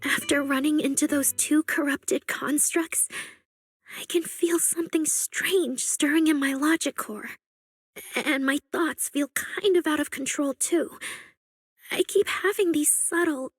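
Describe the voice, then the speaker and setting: Very high-pitched anxious android girl. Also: unhurried, no echo. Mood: troubled, resolved. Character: earnest, anxious young female android idol singer. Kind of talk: scripted video game voice acting.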